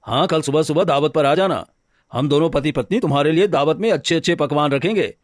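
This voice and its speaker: Homely cartoon woodcutter, male actor, eager and reassuring, slightly high pitch, very dry sound. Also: a little fast, no echo, lightly acted. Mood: friendly. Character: good-natured, eager married woodcutter, a simple villager. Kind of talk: Hindi cartoon story dialogue.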